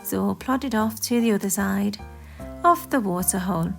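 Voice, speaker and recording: A woman reading a children's story aloud as expressive scripted narration, in a deliberate, animated manner. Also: medium pitch, gravelly, soft background music.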